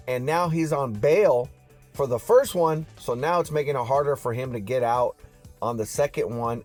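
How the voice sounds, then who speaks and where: A man's voice: mid-range voice, a little mellow. A man hosting car news on YouTube in a casual, slangy vlog style, talking straight to his viewers.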